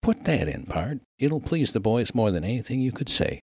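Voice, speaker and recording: A man voicing a rough, good-natured Old West miner with a rustic American accent and a slangy, emphatic way of talking in a humorous story. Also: medium pitch, sing-song, normal speed, darkish tone, no echo, clean studio sound, pitched above the performer's own voice, heavily acted. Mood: fervent, admiring. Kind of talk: dramatic reading of a humorous story.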